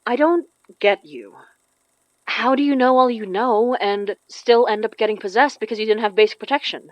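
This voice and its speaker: A woman's voice: on the high side, moderate pace, no room sound, lo-fi sound, lightly acted. Blunt woman actor, close dry sound. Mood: blunt, puzzled. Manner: direct, questioning. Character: blunt, knowledgeable woman magic practitioner, probing yet helpful. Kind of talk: naturalistic audio drama acting.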